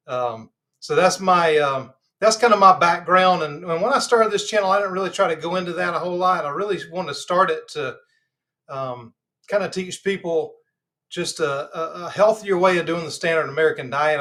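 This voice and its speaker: This is a man with a Southern drawl from Georgia, a little stuffy in the nose. He chats with his livestream viewers in a loose, meandering way, full of fillers, and his voice sits slightly higher than most men's. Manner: relaxed and rambling, many fillers. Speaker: host of a live health channel. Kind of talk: casual livestream chat.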